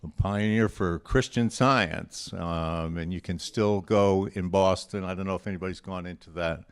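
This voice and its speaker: A man presenting a quote quiz, reading quotations aloud and chatting informally with a group in a deliberate, conversational way. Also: low-pitched, clean tone.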